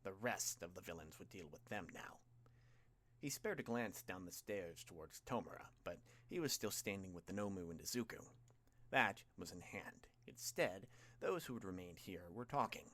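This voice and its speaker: A man reading a story aloud as an audiobook narrator, with expressive scripted delivery. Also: sits low, moderate pace.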